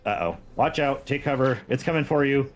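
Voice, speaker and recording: A man's voice: medium pitch, fairly quick, soft background music. A man talking casually and explaining his views as a guest on a gaming stream. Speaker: game modder and stream guest.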